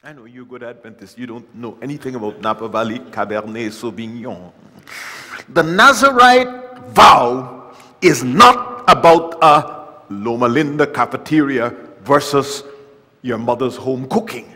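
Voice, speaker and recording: An older man preaching a sermon at a church lectern, with wry humor, reading scripture loudly and dropping to quiet asides. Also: mid-range voice, measured pace. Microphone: lectern mic, far camera. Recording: long church hall reverb.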